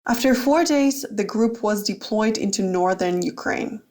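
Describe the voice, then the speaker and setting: Woman narrator, scripted, medium pitch. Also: a little slow, darkish tone. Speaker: narrator, radio documentary host.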